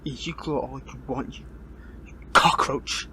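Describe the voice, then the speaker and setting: A man playing a bitter, defiant captured superhero in an audio roleplay, speaking in a strained, halting, taunting way. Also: medium pitch, fairly even intonation, bright, forceful tone, slight background noise, lightly acted, poor audio. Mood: angry, struggling. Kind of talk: dramatic audio roleplay monologue.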